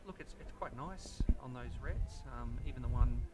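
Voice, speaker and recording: A man's voice: mid-range voice, moderate pace, heavy background noise. An Australian man commentating a live pool match on a headset mic, chatty and explaining shots.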